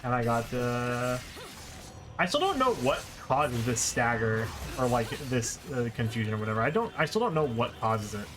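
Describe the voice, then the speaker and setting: A man's voice: medium pitch, big pitch swings, normal speed, noisy background. A man giving live video game commentary, thinking aloud and exclaiming in frustration as he plays. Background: video game music.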